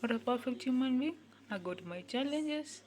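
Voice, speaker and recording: A woman, giving an earnest motivational talk in which she repeats each phrase several times and sometimes drops to a soft whisper. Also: high voice, expressive, normal speed. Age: 20s. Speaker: youth speaker.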